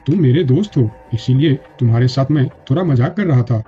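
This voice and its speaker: A man's voice: medium pitch, animated, fairly quick, slightly rough. A man voicing a friendly, teasing male bear in a children's cartoon, in a dull recording with music. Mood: apologetic, hurt.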